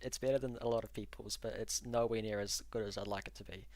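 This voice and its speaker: A man hosting a live stream, walking through frontline maps and answering chat in an analytical, measured way with frequent ums and uhs. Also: on the low side, fairly even intonation, darkish tone. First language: English. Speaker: livestream host and war mapper.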